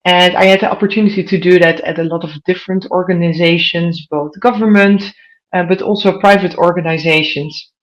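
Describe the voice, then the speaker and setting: A woman's voice: lowish voice, steady tone, fairly quick, rough recording. A woman in her thirties from the Netherlands with a Dutch accent, giving an online conference presentation and putting questions directly to her audience. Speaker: conference speaker.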